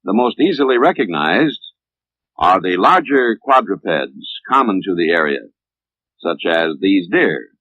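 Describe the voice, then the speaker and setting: A man's voice: deep voice, very animated, measured pace, no echo. Tinny 1950s American male film narrator. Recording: tinny, band-limited 1950s film soundtrack.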